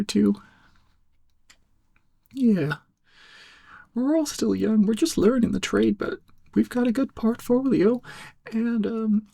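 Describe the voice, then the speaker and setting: A man playing a laid-back, cowardly, goofy young amateur sleuth in a soft-spoken ASMR roleplay, rambling hesitantly in a breathy, cracking stoner drawl. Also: high-pitched, no echo, clean studio sound, full character voice. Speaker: ASMR performer.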